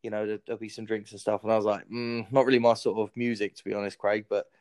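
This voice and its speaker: Smooth, low-voiced host. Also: male voice, little pitch movement, quick pace, a little mellow, no room sound, clean studio sound. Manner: easygoing, anecdotal, laughs along. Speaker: podcast host. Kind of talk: casual chat.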